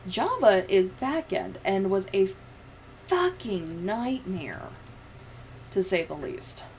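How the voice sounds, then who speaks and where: A woman's voice: medium pitch, big pitch swings, takes it slowly, darkish tone. A young woman chatting casually on a livestream in a sweary, sardonic manner. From United States. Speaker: livestreamer editing a video. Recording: clear background noise, room ambience.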